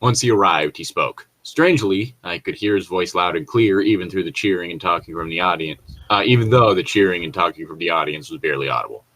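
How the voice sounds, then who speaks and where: Man, low voice, casual co-host, story read-along, rambling joking commentary. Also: fast talker, few pauses, a bit bright, poor audio. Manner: rambling, jokey, chuckles at own remarks. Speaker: co-host reading stories aloud and commenting.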